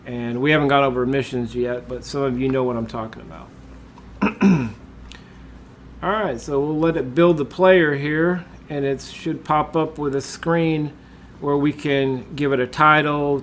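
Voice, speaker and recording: A man, congested from a cold, talking through a screen-recorded software tutorial in a relaxed way, with lots of uh and um. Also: on the high side, slight background noise. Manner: relaxed, with frequent uh and um fillers. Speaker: tutorial host.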